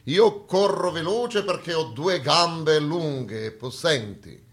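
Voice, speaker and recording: A man's voice: a little high, lively intonation, takes it slowly. A man playing the North personified as a haughty, impatient swift runner, scornful and theatrical in a comic stage dialogue. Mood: boastful.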